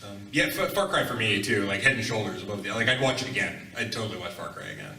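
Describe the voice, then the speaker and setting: Man, bright voice, casual halting panel chat, distant mic. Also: lowish voice, sing-song. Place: convention panel room. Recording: echoey room, distant microphone.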